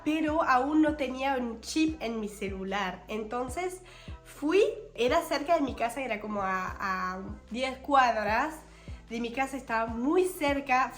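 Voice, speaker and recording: A young woman in her twenties, speaking touches, chatting and telling a story to her followers. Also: a little high. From France. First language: French. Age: 20s. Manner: chatty, animated, many fillers. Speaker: vlogger. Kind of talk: casual storytelling to camera. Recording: echoey room with faint music.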